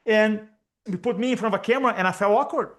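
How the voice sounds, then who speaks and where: Brazilian-accented man, energetic speaking coach. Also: sits high, fast. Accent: Brazilian Portuguese. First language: Portuguese. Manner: energetic, emphatic, repeats key phrases. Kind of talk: interview guest on video call. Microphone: video call.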